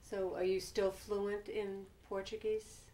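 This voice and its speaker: A woman's voice: mid-range voice, flat delivery, slow, warm tone, a little hiss or hum. A woman asking informal questions as an oral history interviewer.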